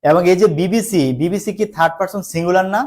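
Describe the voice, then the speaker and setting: Male grammar tutor, Bangladeshi accent, somewhat high-pitched, explains in repeated small steps and often checks understanding. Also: fairly even intonation, quick pace, a little mellow. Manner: repetitive step-by-step explaining with frequent check questions; mixes in English terms. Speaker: English grammar teacher. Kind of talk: live online grammar lesson.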